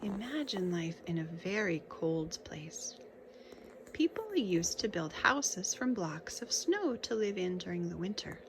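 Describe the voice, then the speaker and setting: Slow-reading woman, children's read-aloud, noisy recording. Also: fairly low pitch, animated. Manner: instructive, pausing to point out pictures. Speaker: children's picture book reader. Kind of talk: read-aloud for young children with casual asides and questions.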